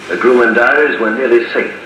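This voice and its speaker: An actor playing the grave narrator of a 1950s radio spy serial, telling the story dramatically. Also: male voice, mid-range voice, a little fast, natural voice. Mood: grave, suspenseful. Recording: very echoey, hissy vintage wire recording.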